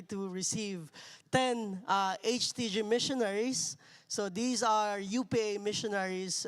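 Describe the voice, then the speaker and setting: A man stands before a congregation and introduces visiting guests, hesitating often with "uh". His voice is smooth and resonant, and it rings out with a long church-hall reverb. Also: sits high. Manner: hesitant, many "uh" fillers. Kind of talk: casual announcement.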